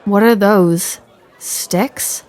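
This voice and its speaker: A woman's voice: medium pitch, very slow, darkish tone, some chatter behind. A woman playing a proud, skeptical female pirate captain in an audio roleplay, brusque, grumbling and dismissive. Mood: flustered, impatient. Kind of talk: character audio roleplay acting.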